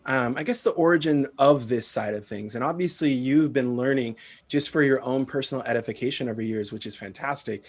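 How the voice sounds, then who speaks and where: A man hosting an interview, asking friendly questions and giving brief acknowledgements. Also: medium pitch. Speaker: podcast host. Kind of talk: interview host.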